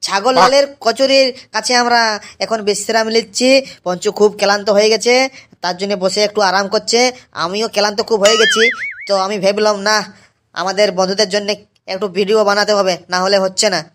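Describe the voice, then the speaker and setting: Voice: medium pitch, fairly even intonation, brisk, a bit bright, natural voice. An eager young cartoon sidekick put on by a performer chats. He is agreeable and repeats his words, in a comic mock-vlog style. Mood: weary, chatty. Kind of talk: cartoon comedy dialogue, mock vlogging.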